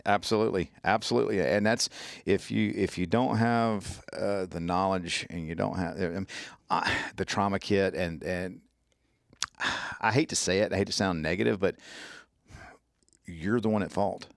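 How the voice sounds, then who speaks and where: Gravelly, deep-voiced Mississippi man. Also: moderate pace, no room sound, clean studio sound. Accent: Mississippi Southern. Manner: folksy, animated, colloquial storyteller. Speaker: podcast guest, trauma care instructor. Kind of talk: casual podcast conversation.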